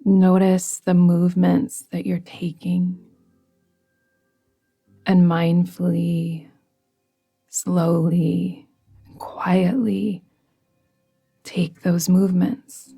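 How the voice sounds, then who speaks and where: A woman guides a meditation very slowly, in an even, barely varying tone. Her voice is soft and breathy, with a creaky edge as phrases settle. She instructs gently and soothingly. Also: fairly low pitch. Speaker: yoga nidra guide. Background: music.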